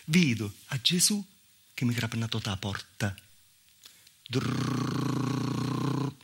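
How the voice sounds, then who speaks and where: A man's voice: mid-range voice, slow, vocal fry, heavy background noise. A man performing a theatrical dialect monologue as a southern Italian village woman, with a Calabrian accent and an intimate, repetitive storytelling manner. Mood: confiding, wry. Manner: intimate storytelling with repetitions and spoken sound effects. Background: live music accompaniment.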